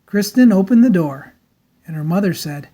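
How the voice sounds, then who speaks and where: A woman narrating a children's storybook aloud in a dramatic, theatrical style with deliberate emphasis. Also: on the low side, animated, warm tone.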